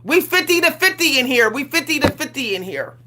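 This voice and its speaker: A woman's voice: sits high, big pitch swings, a little fast, bright, forceful tone. A woman, ranting casually on her livestream with sass, repeating herself and laughing often. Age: 40s. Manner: sassy, repetitive, laughs often, colloquial. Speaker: livestream host.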